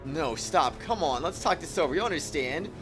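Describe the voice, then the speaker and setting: A man's voice: fairly high pitch, normal speed, faint music behind, voice pushed up. A man voicing a flustered, defensive young anime hero in a visual novel. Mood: pleading. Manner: defensive, excitable.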